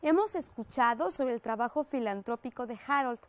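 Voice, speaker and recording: A woman radio host with a Mexican accent, briskly reading listener calls and interjecting during a call-in interview. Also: on the high side, animated.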